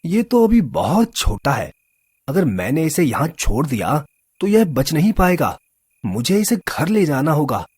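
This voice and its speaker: An actor voicing a kind young village man who loves animals and talks in a soft, tender way in an animated storybook. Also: fairly high pitch, unhurried, husky, dry recording, studio quality, exaggerated voice. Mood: worried.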